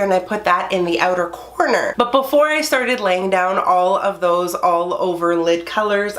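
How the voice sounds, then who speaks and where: A woman chatting eagerly through a makeup review in her bedroom, her voice nasal and congested from a cold. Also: mid-range voice. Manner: enthusiastic, chatty. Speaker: beauty YouTuber. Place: her bedroom under filming lights.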